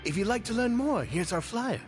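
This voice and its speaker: High-voiced eager recruiter. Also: male voice, animated, fairly quick, soft background music. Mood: welcoming. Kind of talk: video game dialogue acting.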